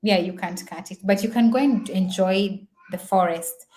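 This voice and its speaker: A woman's voice: mid-range voice, normal speed, dark tone. A young woman whose first language is Swahili, talking in a casual, reflective vlog, chatty and full of fillers and repeats. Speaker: vlogger.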